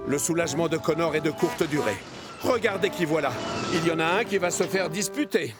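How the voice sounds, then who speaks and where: A man narrating a French children's cartoon as an expressive storyteller. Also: fairly high pitch, fairly quick, over loud music, lightly acted.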